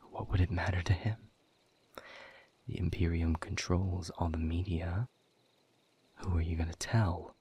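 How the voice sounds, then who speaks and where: Hopeless demon exile for audio drama, male actor: deep, very husky, grave and resigned with a sardonic edge. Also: darkish tone, full character voice. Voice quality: husky, breathy, low. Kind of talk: intimate audio roleplay acting.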